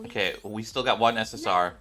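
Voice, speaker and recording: A man hosting a gaming channel with casual, excitable commentary, often exclaiming and repeating his phrases. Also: fairly low pitch, brisk. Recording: music behind the voice.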